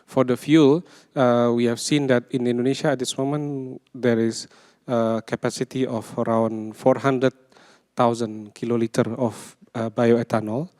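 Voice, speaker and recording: Flat, mellow man speaking in a hall. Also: mid-range voice, a little slow. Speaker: panelist. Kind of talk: conference panel answer. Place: large conference hall. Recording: long hall reverb.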